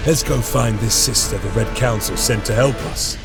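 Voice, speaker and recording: An actor playing a battle-hardened, dutiful space-marine lieutenant in a video game, with a gravelly voice and a resolute, military manner. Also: male voice, mid-range voice, moderate pace, over music, natural voice. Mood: determined. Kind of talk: game cutscene acting.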